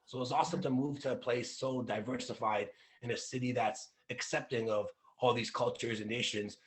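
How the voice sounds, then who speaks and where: A man's voice: medium pitch, fairly even intonation, fairly quick. A young man from Russia, a crypto public speaker, chatting casually as a panel guest and rambling with lots of fillers.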